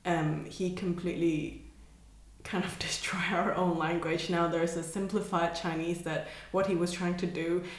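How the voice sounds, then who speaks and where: A woman's voice: fairly low pitch, little pitch movement, measured pace. Woman, hesitant, echoey room. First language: Cantonese. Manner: hesitant, searching for words, laughs often. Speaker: artist guest. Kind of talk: casual conversation.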